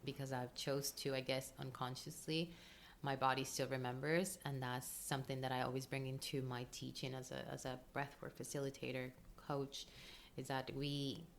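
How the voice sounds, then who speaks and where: Low-voiced Colombian woman, open and emotional. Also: level tone, normal speed, slight background noise. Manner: open and emotional, frequent ums and laughs. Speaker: podcast guest, breathwork coach. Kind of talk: casual interview chat.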